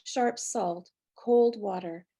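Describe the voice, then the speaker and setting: A Canadian woman in her sixties reads her own poetry, with a short personal introduction first. Her voice is husky with a gravelly creak, and its tone is mellow and dark. She reads in a reflective, unhurried, measured way. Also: medium pitch. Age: 60s. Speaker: poet. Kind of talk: poetry reading with spoken introduction. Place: near the Fraser River, British Columbia.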